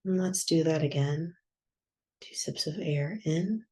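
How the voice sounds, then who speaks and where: A woman guiding a yoga nidra meditation in a soft, soothing, unhurried manner with long pauses. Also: low-pitched. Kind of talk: guided meditation.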